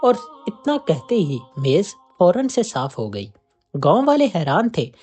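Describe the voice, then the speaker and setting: A storyteller reading a scripted moral folk tale in an expressive way. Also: medium pitch, a little slow, faint music behind.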